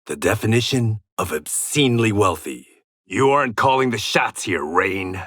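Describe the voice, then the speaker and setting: A man's voice: lowish voice, expressive, moderate pace, fairly bright tone, no echo, clean studio sound. An actor playing a proud warrior and former gangster in a video game, confident and terse, with a sardonic edge to his short fight taunts. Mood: stern, challenging.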